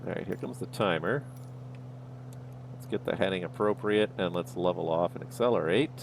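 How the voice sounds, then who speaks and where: A man with a low voice and a gravelly edge to it. He is streaming a flight sim and thinks aloud in an easygoing way. Faint noise sits under the voice. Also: normal speed. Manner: easygoing, talks himself through each step. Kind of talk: livestream commentary, thinking aloud.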